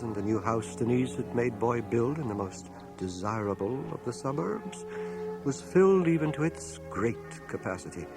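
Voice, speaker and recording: An actor playing a reflective, wry older bookish man narrating his life in a radio drama, in a measured literary manner. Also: lowish voice. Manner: literary, measured, dryly ironic. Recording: 1980 radio drama home cassette transfer, music behind.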